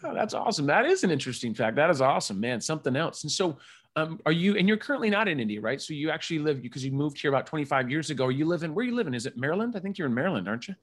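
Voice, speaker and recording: Man, podcast host, quick, upbeat, chatty, casual interview. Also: fairly high pitch, very animated. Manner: upbeat, chatty, many asides.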